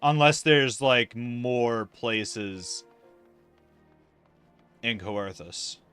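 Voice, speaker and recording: A man's voice: mid-range voice, very slow, fairly bright tone, smooth, faint music behind. A man casually commentating a livestream of an online fantasy game, rambling and thinking aloud with casual swearing.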